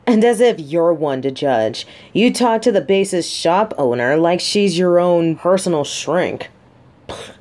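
A woman's voice: fairly low pitch, darkish tone. A woman playing a guarded, sarcastic but secretly vulnerable lieutenant and bodyguard in an intimate audio roleplay. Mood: teasing, defensive. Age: adult. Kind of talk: scripted roleplay audio drama, addressed to listener.

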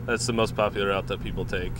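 A man's voice: on the low side, steady tone, fast, background noise. A young man answering interview questions outdoors in a plain, matter-of-fact way. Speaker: bike rental guest. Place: outdoors at a bike rental lot. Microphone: host's handheld mic.

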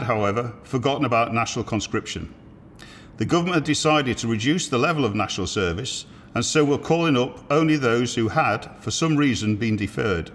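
A man in his eighties from Liverpool, an army veteran, reading a personal memoir aloud at a measured, steady pace. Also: medium pitch, big-room sound, a little hiss or hum. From Liverpool, England. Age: 80s. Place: indoor museum room with military equipment.

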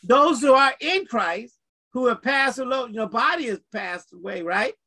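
A man in a faith discussion who talks with lively energy and keeps affirming the other speaker with quick encouragements. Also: very high-pitched.

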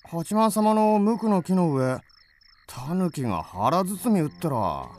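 A man's voice: sits high, big pitch swings, takes it slowly, darkish tone, full character voice. A man playing a mild, easily puzzled village hunter in a radio drama, naive and wondering. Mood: curious.

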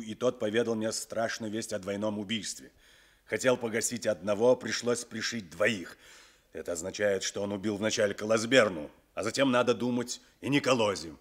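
An actor playing a dutiful police sergeant who reads out testimony formally in a 1960s Soviet radio drama. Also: male voice, fairly low pitch, lightly acted. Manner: formal, measured reading.

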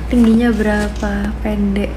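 A woman's voice: medium pitch, little pitch movement, a little slow. A woman in her twenties with a thick Surabaya accent in her Indonesian, chatting casually on a livestream and answering viewers' questions from her phone indoors. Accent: medok Javanese-accented Indonesian of Surabaya. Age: 20s. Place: dim room indoors. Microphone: phone at arm's length. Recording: clearly noisy phone livestream audio.

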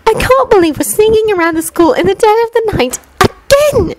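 A woman voicing a bookish, bossy teenage schoolgirl witch who talks high, sharp and impatient. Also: expressive, brisk, a little hiss or hum.

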